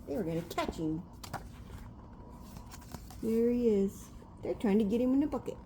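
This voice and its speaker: A woman's voice: a little high, animated, normal speed, dark tone, a little hiss or hum. An older woman, a grandmother reading a storybook aloud to a child in a homely, chatty way. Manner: homely, chatty, pointing things out.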